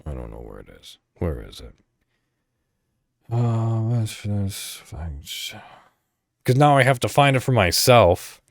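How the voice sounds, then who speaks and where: A man hosting a podcast solo, chatting casually and thinking out loud in a rambling way. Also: on the low side, very animated, takes it slowly, gravelly, pauses often, no room sound, studio quality. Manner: rambling, thinking aloud, mild swearing. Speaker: podcast host.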